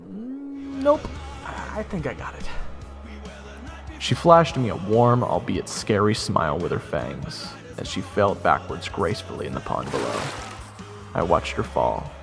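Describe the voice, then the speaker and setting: A man's voice: sits low, sing-song, measured pace, vocal fry, music in the background, full character voice. A man playing a sarcastic, easily exasperated young would-be knight who also narrates his fantasy adventure. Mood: reluctant. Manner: wry, incredulous, casual. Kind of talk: fan audio roleplay with first-person narration.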